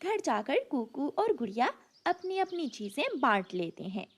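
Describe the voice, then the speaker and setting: High-pitched, lively woman story narrator. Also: a little slow. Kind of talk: scripted children's story narration.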